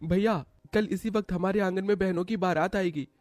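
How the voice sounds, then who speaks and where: A man's voice: high voice, normal speed, darkish tone, no echo, studio quality, natural voice. A man voicing a self-sacrificing, protective young brother from a poor family in a cartoon story, earnest and emotional.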